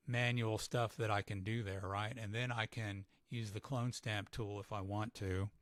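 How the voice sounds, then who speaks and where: A man giving a casual live software tutorial, thinking aloud with fillers, his voice starting to go. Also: lowish voice, fairly even intonation, normal speed, no echo, studio quality. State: losing his voice. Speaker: photographer demonstrating editing software.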